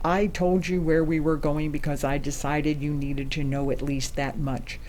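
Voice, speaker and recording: A man playing a composed, candid ambassador in a science fiction drama, speaking in a measured, diplomatic way. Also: on the high side, flat delivery, a little mellow, a little hiss or hum, natural voice, low-quality recording. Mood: firm. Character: composed, candid senior ambassador leading a secret space mission. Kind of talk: acted dialogue.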